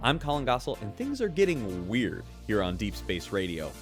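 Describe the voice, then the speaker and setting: A man's voice: fairly low pitch, huge pitch swings, normal speed, faint music behind. A man voicing a chatty, wry radio news host aboard a starship, reading scripted reports.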